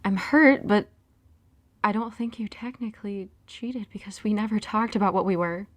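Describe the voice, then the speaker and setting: A woman's voice: mid-range voice, normal speed, close to an everyday voice. A woman playing a sincere, vulnerable young girlfriend in an audio drama. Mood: hurt. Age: young adult.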